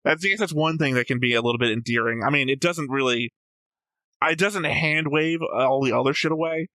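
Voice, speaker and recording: A man's voice: fairly high pitch, a little fast, sharp tone, no echo. A man talking casually as a reaction show host, opinionated and analytical, with a blunt, flippant way of speaking.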